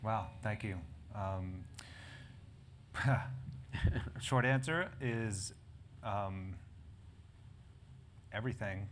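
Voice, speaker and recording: A man's voice: fairly low pitch, drawn-out words, long pauses, fairly bright tone, a little hiss or hum. A man moderating a live panel discussion, talking in a rambling way with many restarts and fillers. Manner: rambling, self-correcting, frequent fillers. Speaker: panel moderator and editor of a health publication. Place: conference event in front of an audience.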